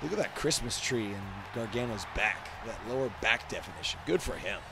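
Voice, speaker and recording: A man's voice: lowish voice, animated, fairly quick, creaky, crowd noise, noisy background. A man giving casual, jokey and self-deprecating commentary as a host, rambling as he goes. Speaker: host commenting on wrestling video game cutscenes. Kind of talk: casual commentary with mock wrestling announcing.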